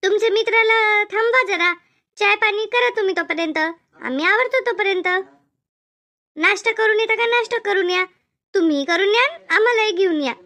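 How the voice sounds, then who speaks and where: A woman's voice: very high voice, normal speed, sharp tone. A woman voicing a chatty, bossy yet easily hurt village woman in a cartoon comedy, with rustic, repetitive Marathi talk in a rural Maharashtra accent. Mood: teasing.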